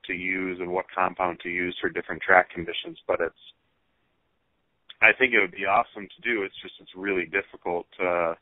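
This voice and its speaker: A man talking casually as a racer being interviewed over the phone, pausing often as he thinks through his answers. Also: low voice, little pitch movement, dry recording. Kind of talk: casual phone interview about hobby racing. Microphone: telephone. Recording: tinny, band-limited phone line.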